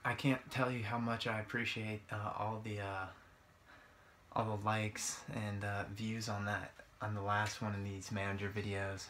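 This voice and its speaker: A man's voice: lowish voice, level tone, moderate pace, fairly bright tone, slight background noise. A young man doing casual gameplay commentary in a hesitant, rambling, informal way. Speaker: gaming video creator. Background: guitar music.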